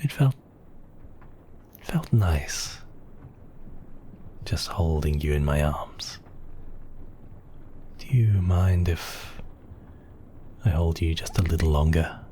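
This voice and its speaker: Casting: a man as an ancient-world vampire count, very low and unhurried, possessive but tender, hushed ASMR murmur. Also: a little hiss or hum. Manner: slow, hushed, intimate murmur with whispery moments. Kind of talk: romantic ASMR roleplay.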